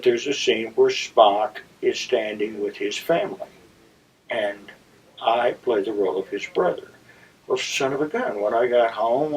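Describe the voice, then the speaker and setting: A man hosting a story segment, telling a personal anecdote to viewers in a deliberate, theatrical raconteur's manner with dramatic pauses. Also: medium pitch, darkish tone, slightly rough. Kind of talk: anecdotal monologue addressed to viewers. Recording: slightly distant, dull lo-fi sound.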